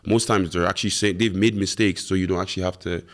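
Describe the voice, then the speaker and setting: A young man who grew up in Ireland talks loosely and fast. His voice sits on the low side, and his speech is full of fillers and slang as he answers questions. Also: steady tone. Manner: laid-back and slangy, with many fillers. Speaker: guest. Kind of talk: casual interview chat.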